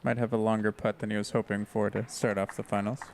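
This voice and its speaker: An American man commentating on a disc golf final in a relaxed, easygoing way. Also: lowish voice, fast, slight background noise. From United States. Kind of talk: sports commentary.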